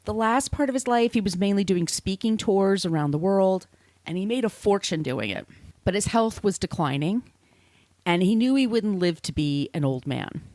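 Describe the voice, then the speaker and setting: Woman podcaster, conversational storyteller, very animated intonation, irreverent, dry studio sound. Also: medium pitch, normal speed, dry recording. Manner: irreverent, casually sweary. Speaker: podcast host. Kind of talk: conversational storytelling from researched notes.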